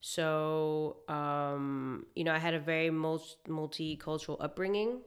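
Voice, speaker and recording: A young woman from Hong Kong, a chef, talking reflectively in a casual interview with many pauses and fillers. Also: low-pitched, fairly even intonation. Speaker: guest, chef.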